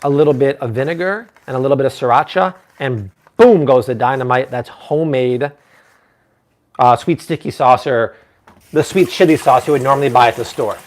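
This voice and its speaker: A man in his thirties talks viewers through a recipe on a live cooking stream in his home kitchen. His voice sits at a middle pitch for a man. He is upbeat and chatty, and he often stops to answer viewer comments. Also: a little fast. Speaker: cooking show host.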